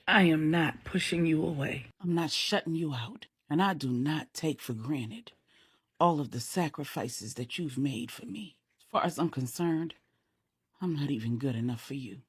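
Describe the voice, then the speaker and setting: A middle-aged woman storyteller reading a grown-up bedtime story aloud, voicing a devoted grieving mother with a heartfelt, measured delivery. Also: sits low, slightly rough, no echo, clean studio sound.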